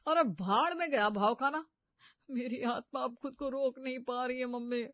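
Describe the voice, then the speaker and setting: A woman's voice: sits high, normal speed, no room sound, lightly acted. Woman as young male son-in-law, whiny, hesitant, exaggerated, comedy cartoon, dry slightly dull recording. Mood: excited, desperate. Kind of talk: Hindi comedy cartoon story dubbing.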